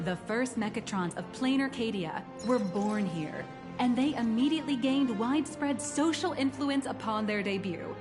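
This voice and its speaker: An actress playing a polite, enthusiastic tour guide in a video game, explaining things in a formal, scripted way. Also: female voice, a little high, normal speed, natural voice. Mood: informative. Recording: music behind the voice.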